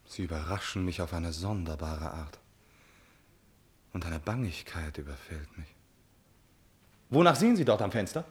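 An actor playing an impulsive, lovesick prince in a classical drama, haughty yet anxious, with a theatrical delivery. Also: male voice, low voice, lively intonation, normal speed, long pauses. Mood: uneasy, hushed. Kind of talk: German classical stage drama acting.

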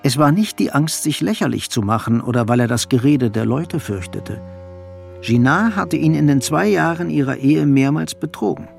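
A man's voice: medium pitch, expressive, normal speed, vocal fry, faint music behind. A man narrating a radio play as a sober, detached storyteller in scripted literary prose. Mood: sober, measured.

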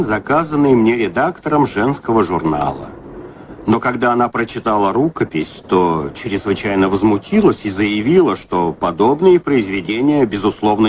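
A man's voice: fairly low pitch, very animated, moderate pace, background noise. An actor playing an urbane, dryly ironic author who narrates his own story in a radio drama. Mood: dryly amused. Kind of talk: dramatised first-person narration.

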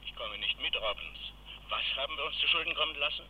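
An actor in a German radio play as a brisk, placating head-office official, heard through a telephone. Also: male voice, on the high side, expressive, pushed and bright, a little hiss or hum. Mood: puzzled, impatient. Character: male head-office audit official, hurried, placating, evasive. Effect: telephone filter. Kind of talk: German radio drama acting. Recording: dull, band-limited telephone line.

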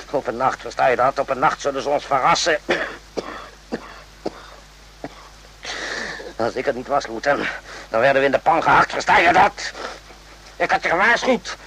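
An actor playing a menacing, paranoid military officer in a radio play, with a husky voice and a mocking, needling manner. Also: male voice, medium pitch, a bit bright, rough recording. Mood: agitated, menacing. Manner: mocking, needling, rhetorical questions.

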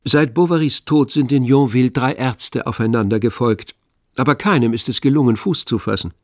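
A man narrating a tragic novel for a radio play in a measured, literary manner. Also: mid-range voice, a little mellow, no room sound, lightly acted. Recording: dry studio with faint music.

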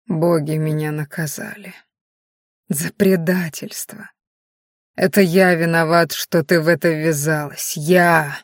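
A woman's voice: low-pitched, steady tone, no room sound, clean studio sound. Woman acting a frantic, guilt-racked werewolf man. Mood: anguished guilt. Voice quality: strained, breaking. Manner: desperate, halting, repeating himself. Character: anguished adult male werewolf, frantic, half-mad with guilt.

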